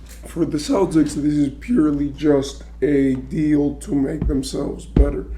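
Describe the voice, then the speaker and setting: A man whose voice is worn and hoarse, clearing his throat as he goes. His tone is very dark and muffled and stays mostly flat, though it sits a little high for a man. He talks casually, thinking out loud with lots of filler checks. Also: unhurried. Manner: chatty, thinking aloud, many "right?" and "you know". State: losing his voice. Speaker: sports commentary YouTuber. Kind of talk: casual basketball trade commentary.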